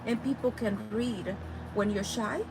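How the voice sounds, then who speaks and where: A woman's voice: fairly high pitch, unhurried, music in the background, low-quality recording. A woman from El Salvador with a Salvadoran Spanish accent, a native Spanish speaker, teaching about spiritual healing and talking straight to her listeners. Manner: animated, addresses listeners directly. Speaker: spiritual healer.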